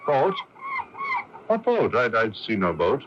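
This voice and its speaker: A man's voice: medium pitch, huge pitch swings, takes it slowly, noisy background. An actor in a radio drama playing a nervous, evasive Irish patriot, stammering and hesitant as he speaks. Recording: dull lo-fi radio broadcast tape.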